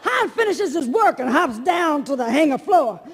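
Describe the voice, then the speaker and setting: A man's voice: very high-pitched, very animated, moderate pace. A man narrating stage directions from a script in a projected, comic theatrical style. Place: on stage at a large convention hall. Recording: echoey convention hall.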